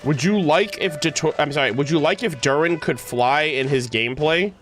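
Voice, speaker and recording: A man livestreaming, chatting casually with his viewers in a halting, thinking-aloud way. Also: fairly high pitch, fairly bright tone. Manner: casual swearing. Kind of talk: casual chat with stream viewers. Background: music.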